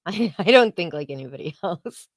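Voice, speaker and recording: Female livestream co-host, low pitch, very dry close sound, blunt and profane, casual opinion talk. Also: lively intonation, normal speed, no room sound.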